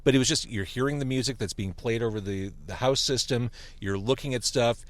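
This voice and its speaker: A man's voice: medium pitch, a little fast. A man hosting a casual podcast conversation from a restaurant, introducing guests with a joking manner. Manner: lively, joking, reads a review aloud. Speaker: podcast host. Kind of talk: casual chat.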